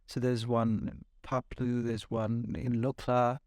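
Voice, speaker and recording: Guy hosting a podcast, mid pitch, really dry studio sound, chatty interview style. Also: flat delivery, slow pace, darkish tone, dry recording. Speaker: podcast host. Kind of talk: conversational interviewing.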